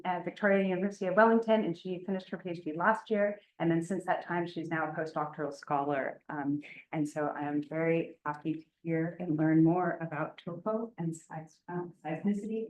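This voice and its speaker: A lowish-voiced woman opens a seminar and introduces the speaker. Her halting delivery, full of ums, rings in an echoey room. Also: fairly even intonation. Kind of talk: formal introduction.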